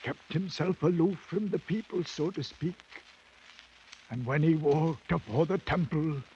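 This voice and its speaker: Actor as a slow, high-voiced British old soldier. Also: male voice, warm tone, natural voice. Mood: dazed, wistful. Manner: halting, rambling, folksy. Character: dazed, loyal British ex-soldier recalling his lost comrade. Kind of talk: 1950s radio drama acting. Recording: dull, faintly noisy 1950s radio recording.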